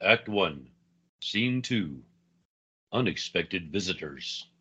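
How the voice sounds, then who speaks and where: A man announcing and narrating a radio play in a dramatic, deliberate, scripted style. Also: sits low, lively intonation, pushed and bright, breathy edge, dull audio.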